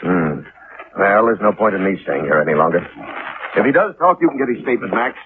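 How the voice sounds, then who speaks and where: Male actor for flustered older commissioner, quick hurried delivery, vintage radio, narrow sound. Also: fairly low pitch, lively intonation, lightly acted. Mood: resigned. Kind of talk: 1950s radio drama acting. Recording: noisy, narrow-band old 1950s radio recording.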